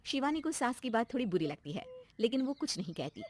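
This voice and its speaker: Lively higher-pitched woman storyteller. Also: fairly quick, slight background noise. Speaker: story narrator. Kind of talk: scripted Hindi family-story narration.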